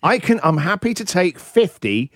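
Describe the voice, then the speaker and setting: A man hosting a breakfast radio show, talking in an excitable, emphatic, rambling way with lively banter. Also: high voice, normal speed, no room sound, clean studio sound. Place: radio studio.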